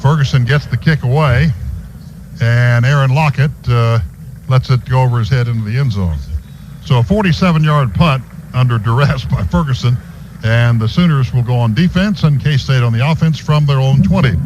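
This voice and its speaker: An American male radio announcer calls a football game live, play by play. His voice sits in a middle register. The broadcast carries clear background noise from a stadium booth. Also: medium pitch, moderate pace.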